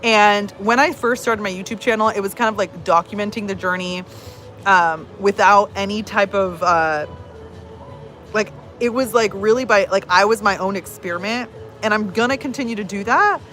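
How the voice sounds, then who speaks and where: A woman's voice: medium pitch, moderate pace, fairly bright tone. A woman in her thirties, a personal growth coach, chatting casually to camera with many fillers. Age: 30s. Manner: chatty, many fillers, reads comments aloud.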